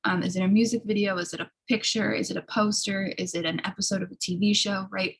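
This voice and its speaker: Woman, writing instructor, slightly hoarse, gravelly fry, explanatory lecture delivery, frequent check-in tags. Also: mid-range voice, fairly even intonation, quick pace, poor audio.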